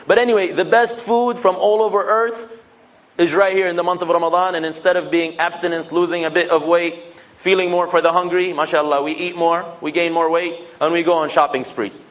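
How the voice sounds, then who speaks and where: A man's voice: fairly high pitch, fairly even intonation, fairly quick, fairly bright tone. A man, giving a casual, joking religious talk to young people and acting out bits of dialogue. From United States. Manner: conversational, joking, acts out quoted dialogue.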